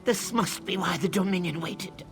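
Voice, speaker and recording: An actor voicing a devout, self-sacrificing reptilian egg keeper in a video game, grave and often hushed to a whisper, with music behind. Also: medium pitch, moderate pace, lightly acted. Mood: grieving, hushed. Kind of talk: video game character dialogue.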